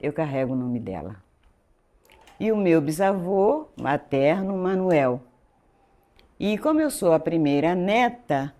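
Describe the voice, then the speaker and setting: Animated woman in her seventies. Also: sits low. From Recife, Pernambuco, Brazil. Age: 70s. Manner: meandering, self-correcting storyteller who acts out dialogue. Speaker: French teacher and school director, guest. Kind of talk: relaxed life-story interview.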